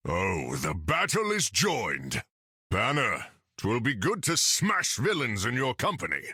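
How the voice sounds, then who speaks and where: A man's voice: medium pitch, huge pitch swings, slow pace, pushed and bright, husky, dry recording, clean studio sound, full character voice. A man voicing a hearty warrior god in a video game, speaking in grand archaic diction. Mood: hearty, eager. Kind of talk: video game character dialogue.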